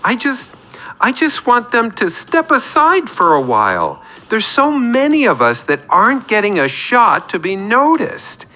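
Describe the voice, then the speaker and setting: Man playing an animated, rambling, needy male caller. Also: high-pitched, a little slow, full character voice. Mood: plaintive. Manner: rambling, earnest, apologetic. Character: self-deprecating, needy, neurotic male caller. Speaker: radio show caller.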